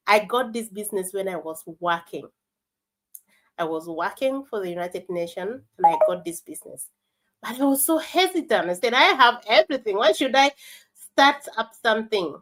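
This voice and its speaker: A woman who speaks as a gives a motivational talk in an earnest, emphatic way, halting and repeating herself at times. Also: mid-range voice.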